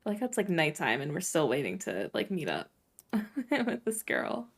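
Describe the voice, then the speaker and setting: A woman's voice: on the low side, wildly swooping pitch, moderate pace, slightly rough. A woman giving casual live commentary while playing a video game. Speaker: game streamer. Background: faint game music.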